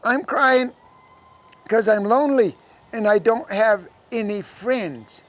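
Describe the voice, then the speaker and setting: A man's voice: high-pitched, slow pace. A man voicing a lonely, naive little girl in a live oral folktale, weepy and easily delighted.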